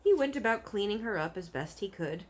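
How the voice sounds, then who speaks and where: A woman reading a children's book aloud as an expressive storyteller. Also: mid-range voice, a little fast.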